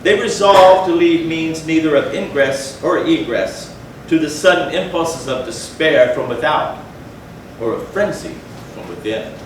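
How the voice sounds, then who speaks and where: A man giving a dramatic stage reading of a gothic story with theatrical, measured phrasing. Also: on the high side, lively intonation. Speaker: stage reader. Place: indoor theatre, far from the mic. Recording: echoey theatre from far off, faint noise.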